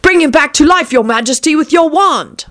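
A woman's voice: high-pitched, animated, normal speed, fairly bright tone, voice pushed up. A woman voicing an obedient captain of royal witch hunters in a storybook, formal and earnest. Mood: urgent. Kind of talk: storybook character acting.

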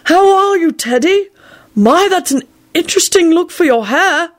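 A woman's voice: very high voice, animated, moderate pace, pitched above the performer's own voice. A woman voicing a kindly, eccentric old headmaster man with a delighted, rambling manner. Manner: genial, rambling.

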